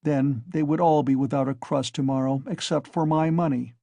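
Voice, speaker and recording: A man's voice: medium pitch, fairly even intonation, normal speed, gravelly, mellow, dry recording, close to an everyday voice. A man voicing a brooding young man who mutters sardonic, malignant thoughts to himself. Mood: bitter.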